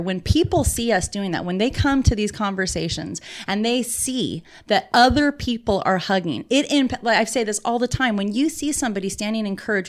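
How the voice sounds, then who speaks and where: A woman speaks at a middle pitch in an earnest, emphatic manner. Her talk is conversational and impassioned, flowing in long wordy sentences full of spiritual language.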